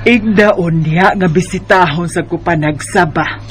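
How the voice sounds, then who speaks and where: A man voicing a gentle, caring elderly grandmother with a Bisaya Filipino accent in an amateur radio drama. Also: high voice, measured pace, slight background noise. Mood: caring, wistful.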